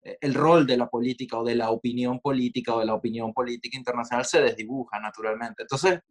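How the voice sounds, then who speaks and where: A Venezuelan man talks through his thoughts in an unhurried, meandering way, stopping on fillers and side remarks. He sounds like an interview guest at mid pitch, relaxed and conversational. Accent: Venezuelan Spanish. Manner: reflective, digressive, with many fillers and asides.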